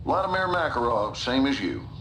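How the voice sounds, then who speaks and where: A man playing a self-assured, scheming army general in a video game cutscene, deliberate and commanding. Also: medium pitch, big pitch swings, bright, forceful tone, slight background noise, poor audio. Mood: sly, assured. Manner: deliberate, smooth-talking, commanding. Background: music.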